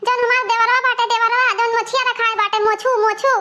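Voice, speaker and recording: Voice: very high voice, level tone, quick pace, pushed and bright, a little hiss or hum. A performer with an Awadhi accent voicing a quarrelsome, whining married village woman in a cartoon comedy, complaining and scolding. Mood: aggrieved, complaining.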